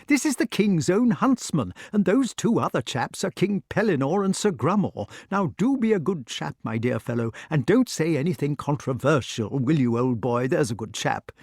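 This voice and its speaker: Man doing a blustering old country knight, stammering, lively swooping pitch, raised high. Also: moderate pace, few pauses, slightly rough, dry recording, studio quality, full character voice. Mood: anxious whisper. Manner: stammering, anxious, hasty. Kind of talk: audiobook character voice.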